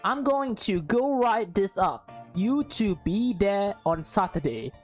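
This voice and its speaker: Stern schoolteacher mom played by a woman narrator, measured, reproachful, visual novel, faint music behind. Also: mid-range voice, animated. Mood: cold warning. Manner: firm, reproachful, threatening. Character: stern, scolding mother and school teacher.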